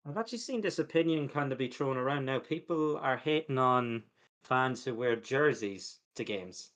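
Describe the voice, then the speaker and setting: A man co-hosting a football watch-along with casual match chat and brief, low-key interjections of agreement. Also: expressive, moderate pace, a bit bright.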